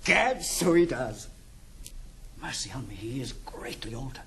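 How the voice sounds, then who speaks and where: Bluff old British uncle, period comedy, hearty and very animated, on a noisy vintage album. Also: male voice, medium pitch, measured pace, creaky. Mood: fond amusement. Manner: hearty, chuckling, exclamatory. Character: bluff old uncle back from the East Indies, jovial, blunt. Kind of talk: period stage comedy acting. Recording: noisy 1960s studio album.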